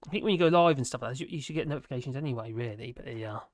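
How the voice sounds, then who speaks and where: A hoarse live streamer, rambling in casual chat to viewers and making fun of themselves. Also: mid-range voice, very fast, no room sound, studio quality. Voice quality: rambling, self-deprecating.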